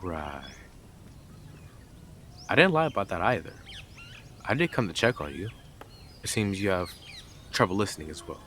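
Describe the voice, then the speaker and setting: A man's voice: sits low, big pitch swings, normal speed, pauses often, a bit bright, slight background noise. A man playing a blunt, smug, obsessive male neighbour in an audio roleplay, with a taunting, sarcastic delivery and dramatic emphasis. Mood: taunting, matter-of-fact. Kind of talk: scripted audio roleplay addressed to listener.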